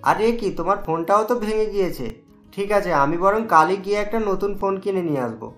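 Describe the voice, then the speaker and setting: A man's voice: high-pitched, expressive, a little fast, fairly bright tone, soft background music. A man voicing a caring, patient young husband and son in a Bengali cartoon, speaking reassuringly. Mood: consoling.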